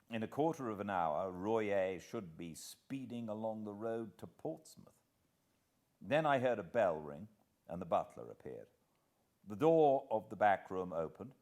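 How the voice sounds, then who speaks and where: Deep, slow British male storyteller. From Britain. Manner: measured, expressive storytelling with dramatic pauses. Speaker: audiobook narrator. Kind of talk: dramatic literary audiobook narration.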